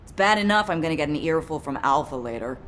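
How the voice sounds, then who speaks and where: A woman's voice: deep voice, animated, fast, fairly bright tone, a little hiss or hum. An actress playing a defiant, revenge-hungry woman soldier in a video game, blunt and weary. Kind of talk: dramatic video game voice acting.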